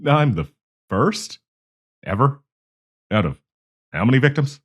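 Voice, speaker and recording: A man's voice: mid-range voice, sing-song, unhurried, husky. A man playing a nervous, awkward young man shyly confessing love to a friend in an audio roleplay, stammering and hesitant. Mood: flustered, nervous. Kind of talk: intimate audio roleplay acting.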